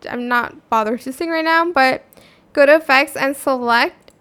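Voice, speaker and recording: Girly high voice, woman doing a laid-back howto vid, kinda offhand, walking thru steps. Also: moderate pace, fairly bright tone. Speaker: tutorial video host. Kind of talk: casual how-to tutorial narration.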